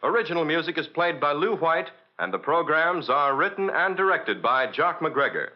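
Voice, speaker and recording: A man's voice: fairly high pitch, animated, normal speed, dull audio. A man with an American accent announcing in a polished, emphatic 1940s radio sponsor style, on an old broadcast recording.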